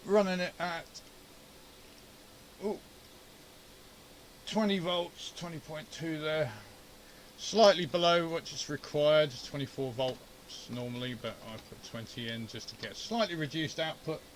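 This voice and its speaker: Man, fairly high-pitched, informal hobbyist narration, muttering and grumbling, unscripted, noticeable background noise. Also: measured pace, pauses often, rough recording. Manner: informal, grumbling, thinking aloud. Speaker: amateur radio hobbyist and video host. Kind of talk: casual unscripted shack demonstration, muttering through troubleshooting. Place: amateur radio shack at home.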